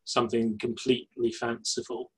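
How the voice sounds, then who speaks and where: Husky middle-aged male host on a call. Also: medium pitch, flat delivery, moderate pace, low-quality recording. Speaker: workshop host. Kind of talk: facilitating an online session. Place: home study lined with bookshelves. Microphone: laptop webcam on video call.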